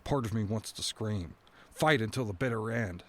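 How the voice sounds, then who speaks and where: Man acting an anguished, low-voiced doomed prisoner. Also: moderate pace, close to an everyday voice. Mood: desperate. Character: anguished, dread-filled male prisoner awaiting his doom. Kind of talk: dramatic first-person monologue, audio drama. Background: background music.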